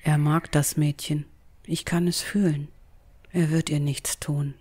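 A woman playing a gentle, mysterious old dryad in a fantasy audio drama, speaking calmly and deliberately. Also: deep voice, breathy edge. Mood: tender.

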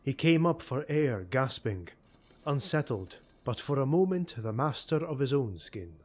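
A man narrating a dark fantasy audiobook in a measured, dramatic storytelling manner. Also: medium pitch, sing-song.

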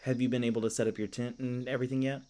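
A man's voice: medium pitch, level tone, very fast, mellow, natural voice. A man playing a flustered, skittish young elf park ranger in an audio roleplay, stammering and awkward with goofy folksy exclamations. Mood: awkward, friendly.

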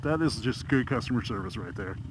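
A man's voice: on the low side, animated, fairly quick. A man riding a motorcycle and talking frankly into a helmet headset mic, grumbling and swearing casually. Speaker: motorcycle vlogger. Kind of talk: casual vlog talk while riding. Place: on a motorcycle on city streets, outdoors. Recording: loud wind and engine noise. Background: motorcycle engine and traffic.

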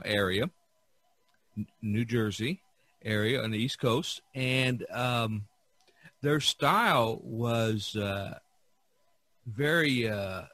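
A man hosting a casual podcast, talking in a halting way with broken phrases. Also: mid-range voice, fairly bright tone, no room sound. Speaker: podcast host.

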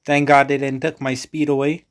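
A man's voice: medium pitch, level tone, normal speed. A man from the Bahamas with a Bahamian accent, giving casual commentary as he hosts a gaming video.

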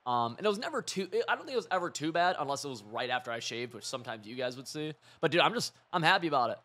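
A hoarse young man giving rambling, excitable live commentary over a football video game, mixing coaching tips with side stories. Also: on the high side, very fast. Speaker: gaming video creator.

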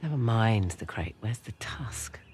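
Sardonic adventurer woman, brisk, dry, varied intonation, game cutscene, music behind. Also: a bass voice, lively intonation, darkish tone. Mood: focused. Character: brisk, sardonic female treasure hunter. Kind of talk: video game cutscene acting.

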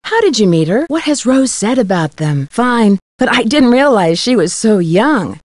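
A woman's voice: on the high side, very animated, normal speed, darkish tone, dry recording, clean studio sound. An actress playing a curious, polite young female amateur detective in a video game, friendly, inquisitive and articulate.

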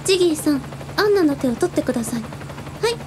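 A woman's voice: high voice, animated, fast, background noise. A woman playing a sweet, cheerful young girl with psychic powers in a radio drama, girlish and cutesy. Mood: sweet, admiring. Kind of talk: anime-style radio drama acting.

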